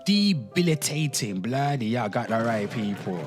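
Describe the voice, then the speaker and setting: A man hosting an online show, giving a dramatic reading with off-the-cuff commentary, drawn-out exclamations and asides to his listeners. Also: medium pitch, sing-song. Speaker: host of an online pop culture show. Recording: music playing under the voice. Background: music.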